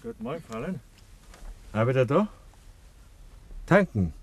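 A man's voice: medium pitch, sing-song, moderate pace, background noise, close to an everyday voice. An actor playing a chatty, businesslike garage man with a Bavarian accent in a 1950s dialect radio play. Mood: businesslike, curious.

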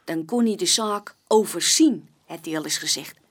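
An actress playing a young, plain-spoken barmaid giving witness testimony in a chatty, colloquial way in a radio play. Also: female voice, on the low side, moderate pace, close to an everyday voice. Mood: chatty, forthcoming. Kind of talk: naturalistic radio play dialogue.

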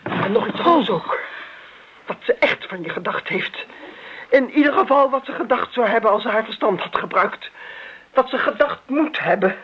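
A woman playing a bitter, reproachful grown daughter in a radio drama, with a husky voice. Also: fairly high pitch, expressive, normal speed. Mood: bitter, accusing. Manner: reproachful, scolding. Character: bitter, accusing grown daughter of a dead mother. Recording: dull, lo-fi radio recording.

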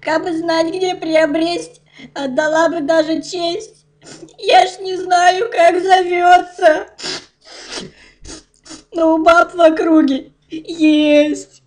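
A man's voice: very high-pitched, level tone, slow, long pauses, pitched above the performer's own voice. A man voicing a bratty, whiny teenage princess who drawls defiantly in a rhymed comic fairy tale. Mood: sulky, then tearful whining. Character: shrill, bratty young princess, fifteen, prone to tantrums.

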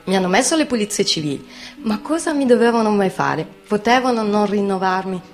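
A woman's voice: medium pitch, lively intonation, fairly quick, lightly acted. A woman voicing a frank, combative young steelworker, giving testimony in a colloquial, insistent way. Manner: plain-spoken, colloquial, insistent. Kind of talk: conversational testimony monologue in radio theatre.

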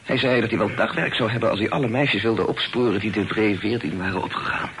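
An actor in a period radio play, voicing a humble, talkative old poet who is effusive, hesitant and deferential. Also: male voice, on the low side, fairly even intonation, fast, fairly bright tone. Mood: sorrowful. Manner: effusive, hesitant, sighing, repeats himself. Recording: noisy old radio drama recording.

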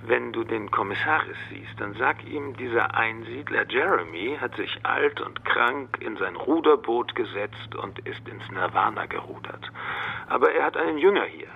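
A man playing a matter-of-fact ex-detective in a radio play, with a rough, husky voice. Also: medium pitch, animated, moderate pace, muffled recording, a little hiss or hum. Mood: explaining, casual. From Netherlands. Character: male Dutch ex-detective reporting by phone, matter-of-fact.

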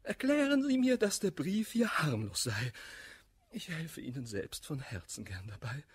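A jealous gentleman in a classical radio comedy. His husky voice sits a little high and swings widely. He declaims his verse hotly, as a lovelorn master quick to lose his temper. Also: sing-song, full character voice. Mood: pleading, bitter. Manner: declamatory, volatile, impassioned.

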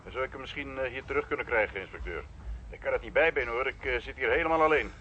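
A man's voice: medium pitch, quick pace, bright, forceful tone, slight background noise. An actor playing an anxious, overworked male police sergeant in a radio drama, speaking hesitantly over a telephone. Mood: harried. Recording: muffled telephone line effect.

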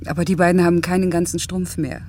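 A woman's voice: on the low side, level tone, fast, warm tone, a little hiss or hum, close to an everyday voice. An actress playing a determined, outspoken young working mother and factory worker in a German radio play. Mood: wistful, coaxing. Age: young adult. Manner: assertive, outspoken.

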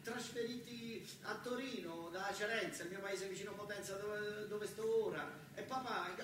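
A man with a southern Italian accent performing a comic, colloquial stage monologue as a factory worker, animated and repeating words for effect. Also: high-pitched. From southern Italy. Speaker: stage actor and storyteller. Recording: echoey hall, distant mic, noisy background.

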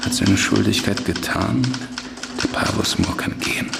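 A calculating political operator in a radio play. His low voice is gravelly and deliberate as he dictates dryly. Loud typing clatters over him. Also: steady tone, very noisy. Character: cool, calculating male political schemer dictating a letter. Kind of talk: German radio drama acting.

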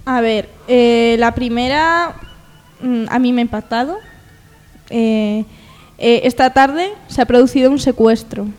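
A woman with an accent from Spain reads joke news on a radio show, a little haltingly, chatting with the panel. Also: fairly high pitch, darkish tone. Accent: Spanish from Spain. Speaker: mock news presenter on a comedy radio show. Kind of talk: joking news reading with panel banter. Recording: long reverberant echo.

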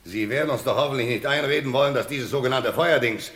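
A man's voice: medium pitch, fast, fairly bright tone, lightly acted. An actor playing a stern, sceptical male prison warden in a radio crime drama, formal and curt. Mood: stern disbelief.

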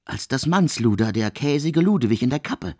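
An actor playing a proud, brooding intellectual man in a German radio drama, with formal and deliberate archaic diction. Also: mid-range voice, sing-song, a little mellow, husky. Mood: wary narration.

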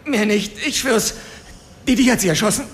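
An actor playing a captured militant in a German radio drama, pleading in a strained, agitated, frightened voice. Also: male voice, high-pitched, moderate pace, a little hiss or hum, close to an everyday voice. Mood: broken confession. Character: captured young militant, frightened, defiant, then broken.

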